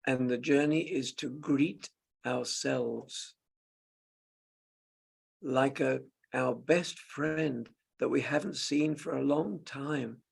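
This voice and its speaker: A man leads a guided meditation at a very slow pace. His voice is heavily gravelled with fry. He gives gentle instructions in short, halting phrases, leaving long pauses between them. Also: medium pitch. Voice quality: gravelly, husky. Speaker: meditation teacher.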